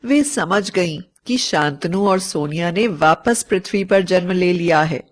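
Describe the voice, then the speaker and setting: A woman narrating a scripted story as a storyteller, in a measured, dramatic manner. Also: fairly low pitch.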